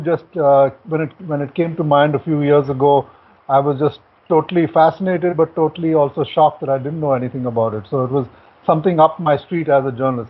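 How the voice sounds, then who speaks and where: A middle-aged man, an author and journalist, talking on a video call from a home study, often restarting his sentences. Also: on the high side. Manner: talks with restarts and uhs. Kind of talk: casual talk on a video call. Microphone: computer mic on a video call. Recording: thin phone-like call audio.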